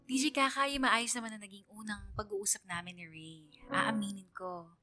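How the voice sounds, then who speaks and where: Actress as young woman telling her love story, mid pitch, earnest, confessional radio narration w music behind. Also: fairly even intonation, moderate pace, lightly acted. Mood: confiding. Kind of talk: scripted first-person radio letter narration.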